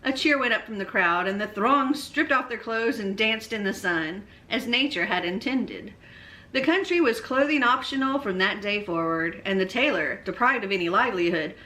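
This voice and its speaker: A woman reading a bedtime story aloud as storyteller, expressively, with deliberate, emphatic phrasing. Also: fairly high pitch, expressive, bright, forceful tone, low-quality recording.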